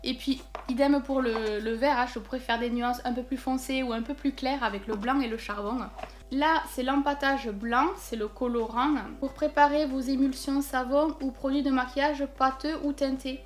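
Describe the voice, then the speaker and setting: High-voiced chatty woman unboxing. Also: fairly even intonation, normal speed. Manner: chatty, thinking aloud with many fillers. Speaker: vlogger unboxing a package. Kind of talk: casual unboxing chat.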